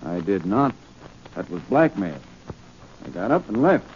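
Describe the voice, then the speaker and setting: Indignant, self-important politician in 1940s radio drama; muffled, slightly noisy recording. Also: male voice, lowish voice, big pitch swings, a little slow.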